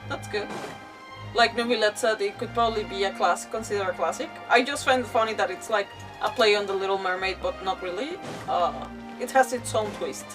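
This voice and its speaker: A woman giving casual, rambling livestream commentary with animated asides as she plays a game. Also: mid-range voice, brisk, recorded from a distance, low-quality recording. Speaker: game streamer. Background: video game music.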